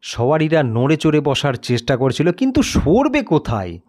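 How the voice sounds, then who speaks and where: A man's voice: on the high side, big pitch swings, normal speed, darkish tone. A man narrating a scripted story in a dramatic storytelling style.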